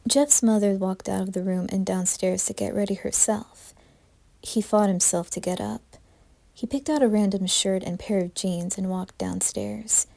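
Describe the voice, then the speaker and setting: A woman's voice: mid-range voice, vocal fry, dark tone. A woman reading scripted horror narration as a storyteller.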